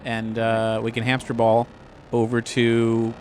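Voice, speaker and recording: A man's voice: mid-range voice, monotone, measured pace, darkish tone, a little hiss or hum. A man playing a tabletop game, breaking in with joking, crude banter. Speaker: player. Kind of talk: casual tabletop game play.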